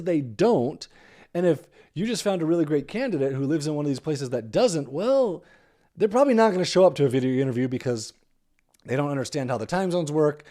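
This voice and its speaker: A man's voice: medium pitch, mellow. An American man hosting a podcast, giving conversational advice in an emphatic way, repeating key phrases for stress. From United States. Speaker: podcast host.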